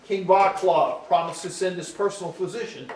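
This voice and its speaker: A man's voice: sits high, moderate pace, a little mellow, a little hiss or hum. A man reading scripted storybook narration for a staged musical. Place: indoor hall, live staged reading. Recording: roomy, distant live stage pickup.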